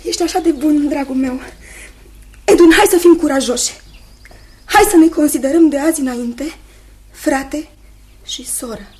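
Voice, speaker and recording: Radio drama role: sweet young fiancée played by a woman, high voice, earnest and unhappy, tender, hesitant, seeking agreement. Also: long pauses, a little mellow, natural voice. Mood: gentle, resolute. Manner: tender, hesitant, repeats phrases, seeks agreement. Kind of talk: Romanian radio theatre acting.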